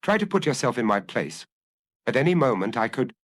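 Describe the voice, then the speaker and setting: A man's voice: medium pitch, very animated, a little fast, no room sound, studio quality. Man reading as an anguished, confessing killer, widely swinging voice. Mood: uneasy. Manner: earnest, self-analyzing, confessional. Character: anguished young male killer confessing to his compulsion. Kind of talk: dramatic audiobook reading.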